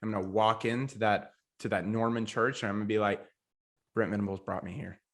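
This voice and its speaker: A man's voice: fairly low pitch, fairly even intonation, fairly quick. A young American man co-hosting a podcast in casual sports chat. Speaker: podcast co-host.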